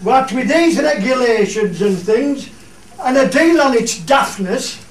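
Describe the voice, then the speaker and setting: A man's voice: very high-pitched, expressive, a little slow, slight background noise. A man acting a plain-spoken Cumbrian countryman in a dialect radio play, with homely, broad speech. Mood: homely grumbling. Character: plain-spoken Cumbrian countryman grumbling about meddling regulations. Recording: echoey room, distant microphone.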